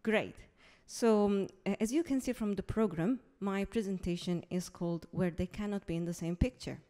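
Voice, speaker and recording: Italian woman, mellow low voice. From Italy. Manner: friendly, quick, addresses the audience. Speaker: curator presenting. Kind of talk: conference presentation. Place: theatre hall.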